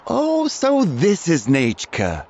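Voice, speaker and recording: A man's voice: a little high, huge pitch swings, takes it slowly, darkish tone, muffled recording, full character voice. An actor playing a kindly, generous, hearty male shopkeeper in a video game. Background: music.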